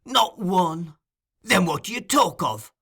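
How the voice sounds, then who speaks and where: A man's voice: sits high, lively intonation, moderate pace, creaky, bright, forceful tone, voice pushed up, heavily acted. A man voicing a scheming old male thief-master who wheedles and keeps calling people "my dear.". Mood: angry.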